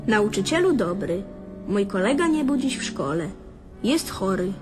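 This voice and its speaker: A woman reciting a child's prayer in a gentle, earnest way, played from a recording in a radio drama. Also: medium pitch, sing-song, normal speed, over music, lightly acted.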